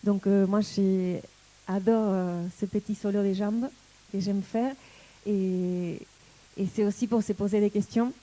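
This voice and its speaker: A woman from Argentina in her forties with an Argentine accent, a tango dancer and teacher, speaking in a thoughtful, halting way as she searches for words. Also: medium pitch, fairly even intonation, mellow, poor audio. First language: Spanish. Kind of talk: personal reflective talk.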